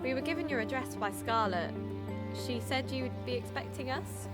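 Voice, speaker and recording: Woman acting earnest podcaster, slightly high voice. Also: normal speed, close to an everyday voice. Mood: polite, careful. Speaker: actor in an audio drama. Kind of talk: audio drama dialogue. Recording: music bed under the voice.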